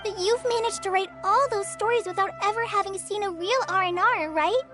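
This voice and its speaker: A woman voicing an eager young child in a video game, practical and quick to doubt. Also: very high-pitched, over music. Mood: reasoning. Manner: quick, doubting, matter-of-fact.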